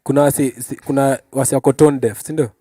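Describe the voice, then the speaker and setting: A man's voice: medium pitch, steady tone, fairly quick, mellow, dry recording, studio quality. A man with a Kenyan accent hosting a talk show in a casual, playful style.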